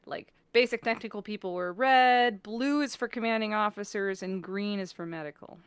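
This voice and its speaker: A woman chatting casually and geekily about sci-fi shows, talkative and animated, as a guest on a stream. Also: mid-range voice, normal speed, clean tone, no room sound. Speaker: guest on a fan talk stream.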